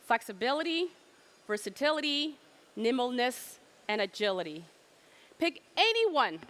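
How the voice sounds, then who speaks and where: Middle-aged woman presenter with a slightly high voice, emphatic keynote delivery and rhetorical questions. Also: fairly bright tone. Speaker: trade fair presenter.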